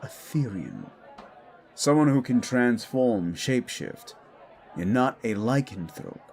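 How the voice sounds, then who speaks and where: A man's voice: mid-range voice, animated, takes it slowly, darkish tone. A man voicing a scrawny, defensive werewolf bully who stammers, blusters and swears in an audio drama. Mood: smug, awkward. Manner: flustered stammering, blustering, foul-mouthed. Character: Fenris, scrawny hot-headed werewolf bully, male, defensive. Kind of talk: scripted audio drama dialogue.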